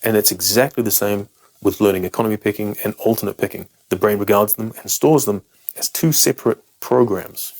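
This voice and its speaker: Low-voiced British man explaining guitar technique. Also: fairly quick, darkish tone, rough recording. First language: English. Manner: frank, self-deprecating and emphatic. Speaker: guitar teacher.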